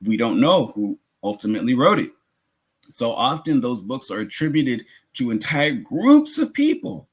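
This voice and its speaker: Male preacher, Islamic lecture, husky voice, lively swooping intonation, explanatory, emphatic repetition, rhetorical asides. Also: mid-range voice, moderate pace. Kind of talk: religious lecture, teaching.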